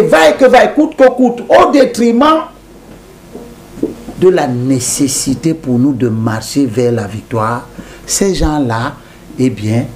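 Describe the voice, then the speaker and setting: A man with a high voice that swings widely up and down. He lectures emphatically and repeats his points to hammer them home, speaking as a guest on a political TV interview. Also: a little mellow, a little hiss or hum.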